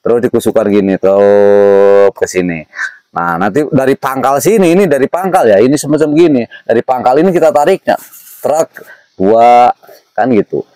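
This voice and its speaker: A man's voice: mid-range voice, animated, normal speed, warm tone, dry recording. A man, explaining folk remedies casually as an interview guest, repeating himself and often checking in with short tags. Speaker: interview guest sharing traditional herbal remedies.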